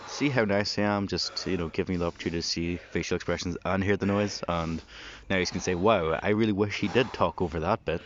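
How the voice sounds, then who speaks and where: A man's voice: sits low, steady tone, fast. A man doing voice-over commentary with dry, self-mocking humor. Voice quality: husky. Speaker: vlogger. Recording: dull lo-fi voice-over with noise.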